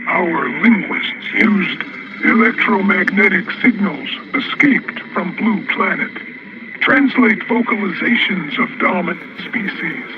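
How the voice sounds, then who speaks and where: Cold, high-pitched alien, slow and muffled. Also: male voice, animated. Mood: cold, menacing. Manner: slow, deliberate, clipped grammar without articles. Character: cold, scornful alien Martian narrator plotting against humans. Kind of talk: science fiction radio drama narration. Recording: muffled, clearly noisy radio-like transmission.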